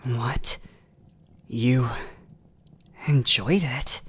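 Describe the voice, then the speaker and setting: A gentle vampire lord, acted by a man, speaks in a British accent, slowly and intimately. The voice is soft-grained and breathy, often sinking to a whisper. He is doting and courtly, holding himself back, in the style of an ASMR fantasy romance. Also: medium pitch, exaggerated voice. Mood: apologetic, hopeful. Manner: slow, gentle, intimate, formal phrasing. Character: tender, restrained male vampire lord, doting on his servant. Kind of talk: ASMR romantic roleplay audio.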